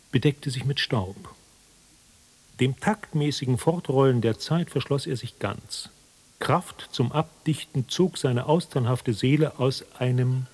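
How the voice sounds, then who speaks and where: A man narrating a literary radio drama in a measured, formal, dryly ironic manner, with a slightly husky voice. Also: mid-range voice, a little mellow. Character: detached, wry literary narrator of an absurd tale.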